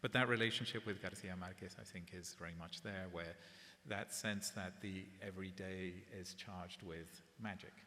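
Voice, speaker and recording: A man curator in a public gallery conversation, talking in a relaxed, conversational way, often checking in with "right?". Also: deep voice, normal speed, few pauses. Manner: relaxed, conversational, tag questions. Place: gallery event space before an audience. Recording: echoey hall, faint hiss.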